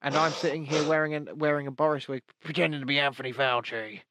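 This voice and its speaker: A man's voice: a little high, fairly even intonation, quick pace, sharp tone. A man co-hosting a casual live-stream podcast, chatty and jokey, rambling through restarts. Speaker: podcast co-host.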